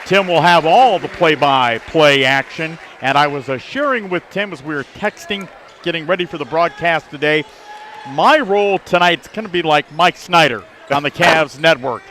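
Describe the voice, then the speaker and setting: A man's voice: fairly high pitch, normal speed, fairly bright tone, some chatter behind. A man hosting a sports pregame broadcast. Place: busy high school gym. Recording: noisy gym with bouncing basketballs.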